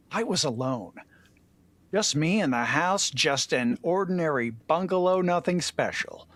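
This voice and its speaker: A man's voice: fairly high pitch, expressive, slow pace, long pauses, dry recording. A man bantering casually and narrating stories, with a jokey way of dropping in asides. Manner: jokey, interjects asides while reading. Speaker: fan fiction reader and show regular.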